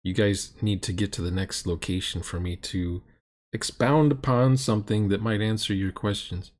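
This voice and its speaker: A middle-aged man comments casually on a tabletop game. His voice is slightly low and rough-edged with huskiness, and his delivery is sardonic and fault-finding. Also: fairly even intonation, normal speed, a little mellow. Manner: sardonic, critical. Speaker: commentator reviewing a roleplaying game session.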